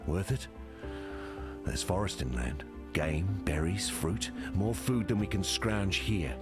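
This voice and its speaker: An actor playing a gruff, blunt, hardened male scout in a video game, speaking in clipped, salty phrases. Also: low-pitched, takes it slowly, over loud music. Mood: grim, matter-of-fact.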